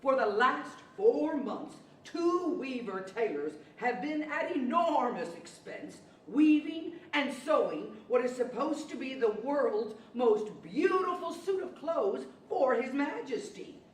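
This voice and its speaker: A woman's voice: high voice, expressive, slow pace, hardly any pauses. A woman playing a wry, clever talking horse with a chuckling, knowing delivery, in radio theater style. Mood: wry, confiding. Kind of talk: 1940s radio theater acting. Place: large library event room, live performance. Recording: echoey hall from far off, faint noise.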